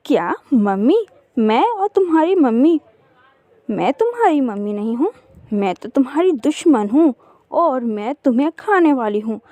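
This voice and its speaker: A woman's voice: sits high, sing-song, normal speed, warm tone, dry recording. A woman voicing a big female bird of prey in a cartoon story, bossy and scolding yet motherly. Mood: scolding, menacing.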